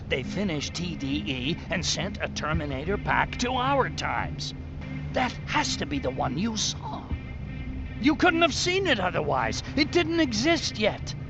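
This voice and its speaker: Nerdy resistance hacker, game cutscene, higher voice, excitable and emphatic, music behind him. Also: male voice, measured pace, heavily acted, rough recording. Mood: excited. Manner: explanatory, emphatic, talks in technical jargon. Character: excitable male tech expert and hacker resistance ally. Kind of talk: video game cutscene acting.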